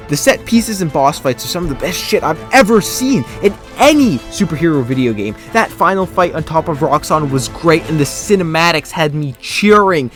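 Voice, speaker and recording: A man's voice: fairly high pitch, fairly bright tone. A young man with a stuffy, congested nose narrating a scripted game review, enthusiastic and opinionated.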